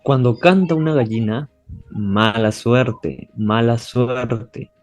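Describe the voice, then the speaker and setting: A man's voice: mid-range voice, slow, no echo, faint music behind, natural voice. A man playing a troubled, superstitious young husband in an amateur radio drama. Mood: uneasy, brooding.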